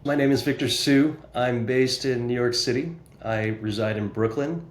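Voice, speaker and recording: A man's voice: mid-range voice, moderate pace, reverberant. An American man, a guest on a podcast, introducing himself and his work conversationally. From United States, New Jersey. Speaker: podcast guest, real estate broker.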